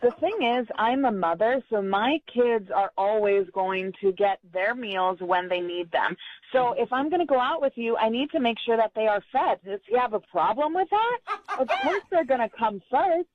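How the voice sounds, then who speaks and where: A woman's voice: a little high, moderate pace. A woman arguing over the telephone in an indignant, confrontational manner. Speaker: phone-in guest. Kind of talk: argumentative phone conversation. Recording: dull band-limited phone line.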